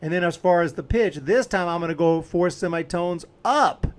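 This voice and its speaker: A man's voice: high voice, moderate pace. A man hosting a video editing tutorial, talking casually and informally as he explains each step while clicking along.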